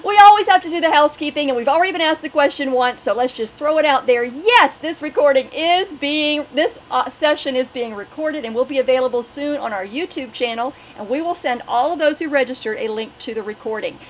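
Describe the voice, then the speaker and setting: A woman's voice: high-pitched, fairly quick, few pauses, slight background noise. A middle-aged woman hosting an online webinar and making announcements. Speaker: webinar host.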